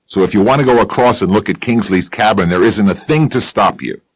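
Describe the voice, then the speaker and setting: A man voicing a blunt, combative middle-aged caretaker with a rough, growling edge in an audiobook. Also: fairly low pitch, brisk, no echo, voice pushed up. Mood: sullen. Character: gruff, hard-handed middle-aged caretaker. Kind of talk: audiobook character voice.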